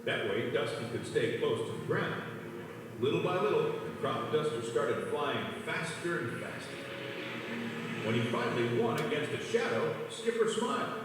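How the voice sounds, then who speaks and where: A man's voice: on the high side, big pitch swings, moderate pace. A man reading scripted narration for a children's read-along storybook. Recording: echoey, distant, long reverb, music behind.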